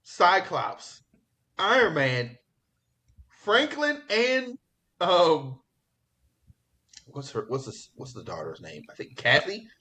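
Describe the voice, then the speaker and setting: A man hosting a podcast in casual pop culture chat, talking in an excitable, slangy, profane way. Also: on the high side, takes it slowly, long pauses. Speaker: podcast host.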